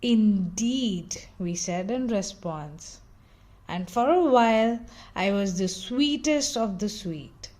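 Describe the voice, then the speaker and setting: A woman reading a children's picture book aloud in an expressive, dramatic storytelling style with theatrical emphasis. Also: mid-range voice, slow.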